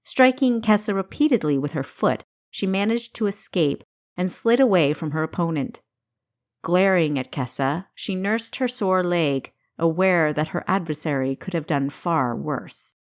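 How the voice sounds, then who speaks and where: A woman with a slightly low voice narrates an action-driven audiobook. She reads in a dramatic, deliberate manner, enunciating each phrase with care. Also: lively intonation. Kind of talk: scripted narration of an action story.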